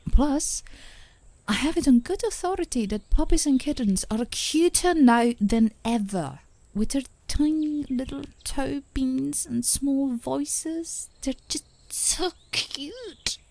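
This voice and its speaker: A woman playing a tender, playful, adoring girlfriend in an intimate ASMR romantic roleplay, speaking softly and gently, often in a whisper. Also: high voice, animated, exaggerated voice. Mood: upbeat, reassuring. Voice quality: soft, breathy, often whispering. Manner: gentle, affectionate, unhurried, giggly. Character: tender, playful, adoring girlfriend comforting her partner outdoors.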